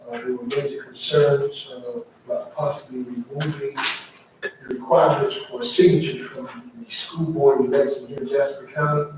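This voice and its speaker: A man, a state representative, speaking politely and haltingly at a public meeting. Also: medium pitch, noisy background. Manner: halting, many uh fillers, polite. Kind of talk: hesitant formal remarks at a public meeting. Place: large public meeting room. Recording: echoey meeting room from far off.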